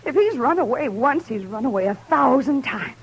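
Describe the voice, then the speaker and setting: A woman's voice: high voice, animated, moderate pace, husky, full character voice. An actress playing a weary, aggrieved frontier mother in a 1950s radio western, talking in a plaintive, folksy way. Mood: exasperated. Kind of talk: 1950s radio drama acting. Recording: hissy old 1950s radio recording.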